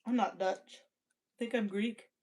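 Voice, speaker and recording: A woman's voice: mid-range voice, fairly even intonation, slow pace, darkish tone. Playful woman reading scripture aloud. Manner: playful, chatty, reads scripture steadily. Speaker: livestream co-host. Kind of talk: casual chat and Bible reading aloud.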